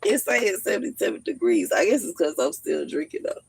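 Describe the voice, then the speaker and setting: A woman in her thirties on a casual livestream, telling stories in an animated, slangy way and laughing often. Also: lowish voice, a little fast, creaky, breathy edge. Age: 30s. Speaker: livestream host.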